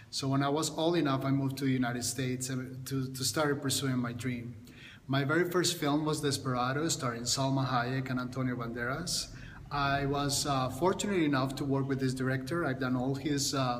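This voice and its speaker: A man, giving a makeup tutorial to camera and often stopping to restart and repeat words. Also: mid-range voice. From Colombia. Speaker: makeup artist. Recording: long reverb, faint background hiss.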